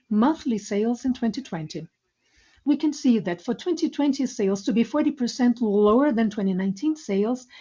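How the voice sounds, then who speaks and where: A woman delivering a scripted corporate financial presentation in a formal, measured manner. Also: fairly high pitch, warm tone. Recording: dull, lo-fi conference call audio.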